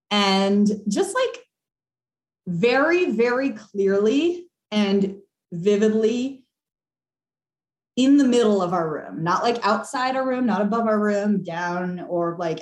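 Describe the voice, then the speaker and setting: A young woman telling a ghost story on a podcast call, animated and laughing, with lots of fillers. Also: mid-range voice. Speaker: caller. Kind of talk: casual storytelling. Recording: echoey room.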